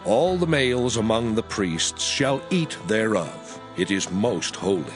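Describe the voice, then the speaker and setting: A man playing God proclaiming sacred laws in a dramatized scripture reading, solemn, deliberate and declamatory. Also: on the low side, lively intonation. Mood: solemn, commanding. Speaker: scripture voice actor. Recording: music under the voice. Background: background music.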